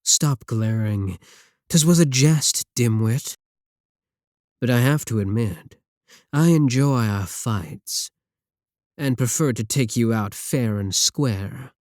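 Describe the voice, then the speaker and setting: A man's voice: mid-range voice, slow, darkish tone, no room sound, clean studio sound, close to an everyday voice. A man playing a sneering villain scientist. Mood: mocking. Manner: taunting, sarcastic, condescending. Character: mocking male villain scientist, arrogant. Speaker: voice actor in audio roleplay. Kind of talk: ASMR roleplay audio.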